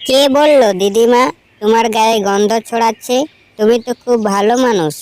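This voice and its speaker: A woman's voice: fairly high pitch, fairly even intonation, a little fast, darkish tone, no room sound, lightly acted. A woman voicing a kind, anxious young village boy in a cartoon, earnest and pleading.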